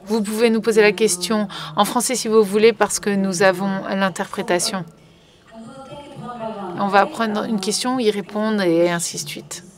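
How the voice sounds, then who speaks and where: A woman's voice: mid-range voice, fairly even intonation, moderate pace, crowd noise. A woman working as a conference interpreter, relaying a panel live with frequent fillers and restarts. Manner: hesitant, many fillers and restarts.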